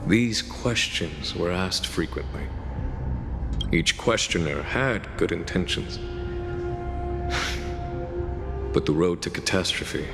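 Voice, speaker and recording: A composed fox-folk general from a video game with a low, richly inflected voice. He speaks thoughtfully and solemnly, with a long hall reverb around his words. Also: creaky, heavily acted. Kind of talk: scripted video game dialogue. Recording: hall reverb, music behind voice.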